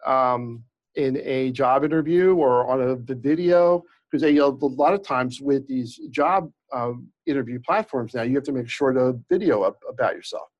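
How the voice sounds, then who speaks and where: A man's voice: mid-range voice, normal speed, lo-fi sound. Man with a slight stutter, explaining. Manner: many restarts and fillers, explaining with examples. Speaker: employment lawyer, guest. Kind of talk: conversational expert explanation.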